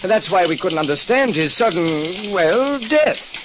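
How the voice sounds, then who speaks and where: A man's voice: high-pitched, lively intonation, a little slow, noisy background, voice pushed up. A man voicing a prim, earnest civic club official in an old radio detective drama. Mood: hesitant regret. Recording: muffled old radio recording.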